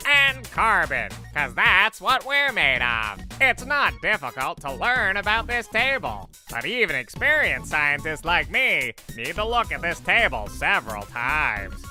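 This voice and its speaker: A woman voicing an eccentric, excitable male maths teacher and mad scientist in a cartoon comic dub, pedantic and theatrical. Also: on the low side, measured pace, few pauses, faint music behind, full character voice. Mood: eager, pedantic.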